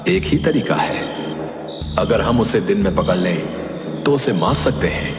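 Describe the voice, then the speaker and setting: A man playing a knowing, resolute village adviser in an animated horror story, with an echo on the voice. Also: fairly low pitch, a little slow. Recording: echoey with long reverb, music behind.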